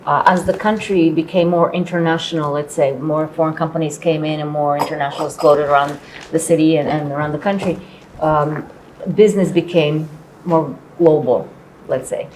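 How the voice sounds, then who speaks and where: Low-voiced middle-aged woman, reflective. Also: fairly even intonation, moderate pace, a little mellow, slight background noise, poor audio. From born in Croatia, later lived in Canada. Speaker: former company executive, interviewee. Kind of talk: reflective conversation in a panel interview.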